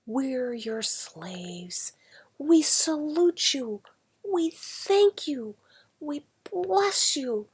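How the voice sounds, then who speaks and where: A woman voicing a jubilant, worshipful political crowd in a storybook, with loud, drawn-out, declaiming cries. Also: high voice, animated, pitched above the performer's own voice, full character voice. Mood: exultant adoration. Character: jubilant, worshipful mob hailing its political saviour.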